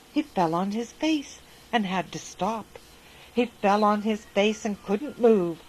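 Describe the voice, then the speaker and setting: A woman reading a rhyming children's story aloud in a gentle, sing-song manner. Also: mid-range voice, a little hiss or hum, rough recording. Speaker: narrator.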